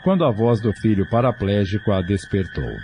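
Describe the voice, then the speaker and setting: Brazilian guy narrating an audio drama, low dark voice, music under it. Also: fairly quick. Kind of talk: scripted dramatic story narration.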